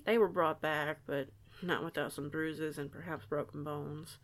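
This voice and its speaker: A low, gravelly teenage werewolf girl, acted by a woman in an audio roleplay. She is a guarded troublemaker minding her manners, stumbling over words in a folksy, deferential way. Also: steady tone, unhurried. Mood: nervous, respectful. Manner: folksy, hesitant, stammering, deferential. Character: eighteen-year-old delinquent female werewolf, guarded but polite.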